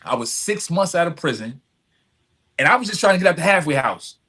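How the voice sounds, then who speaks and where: Male interview guest, voice a little high, speaks in earnest, emphatic, repetitive phrases. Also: very animated, brisk, sharp tone. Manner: earnest, emphatic, repeats phrases, often checks with "right?". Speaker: podcast guest, community service advocate. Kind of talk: reflective personal storytelling in conversation.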